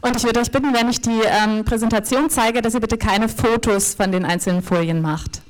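A woman's voice: on the high side, fairly quick. A woman interpreting a talk consecutively, hesitating often with fillers and restarts. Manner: hesitant, many fillers and restarts while translating.

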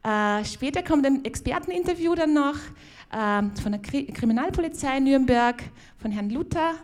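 A woman's voice: sits high, expressive, moderate pace. Viennese woman hosting a conference. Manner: friendly, loose spoken welcome with many fillers and restarts. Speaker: conference host. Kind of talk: opening speech at a conference. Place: conference hall.

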